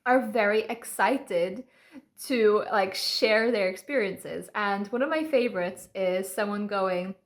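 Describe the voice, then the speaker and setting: A woman's voice: medium pitch, slow. A Polish woman in her twenties with a mixed, American-leaning English accent, telling stories to camera in a chatty way and often laughing. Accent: light mixed American-leaning English accent. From Poland. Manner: chatty and laughing, acts out quoted voices. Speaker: vlogger. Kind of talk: casual storytelling to camera.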